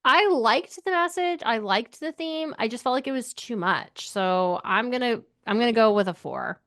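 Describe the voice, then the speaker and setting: A woman's voice: a little high, expressive, moderate pace, fairly bright tone. A woman co-hosting a book podcast in casual chat, speaking in an informal, opinionated and emphatic way.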